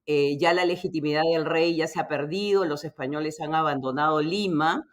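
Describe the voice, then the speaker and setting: Host: woman, lowish voice, deliberate and emphatic academic interview style. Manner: emphatic, deliberate, frequent tag questions. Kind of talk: interview introduction, academic discussion.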